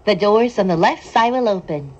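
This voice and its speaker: Very slow female train announcer, muffled. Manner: calm, formal, deliberate enunciation. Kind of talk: scripted in-car station announcement. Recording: dull train PA with clear rumble. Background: train running noise.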